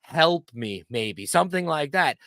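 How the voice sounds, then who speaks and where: A man from Pennsylvania hosting a casual chat, playfully asking questions in an animated way. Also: fairly high pitch, a little slow, dry recording, studio quality. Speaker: channel host.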